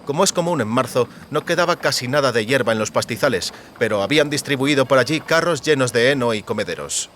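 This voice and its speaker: A man reading scripted literary narration for an audiobook in a measured, descriptive manner. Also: mid-range voice, expressive, a bit bright, slight background noise.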